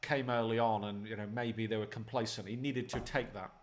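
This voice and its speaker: A man's voice: on the low side, fairly even intonation, a little fast. Easygoing middle-aged man. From Lancashire, England. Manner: easygoing, dry denials, laughs along. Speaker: co-host, the streamer's dad. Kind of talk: casual football chat.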